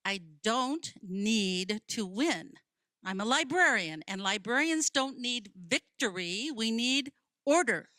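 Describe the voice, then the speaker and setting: A woman's voice: on the high side, expressive, takes it slowly, sharp tone, dry recording, studio quality, exaggerated voice. A woman acting in a radio play as an earnest, principled librarian with a precise, questioning way of talking. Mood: defensive. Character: earnest, principled female librarian, quick to challenge.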